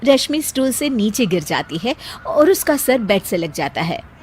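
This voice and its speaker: Animated high-voiced woman narrator. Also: moderate pace, slight background noise. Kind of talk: animated scripted cartoon story narration.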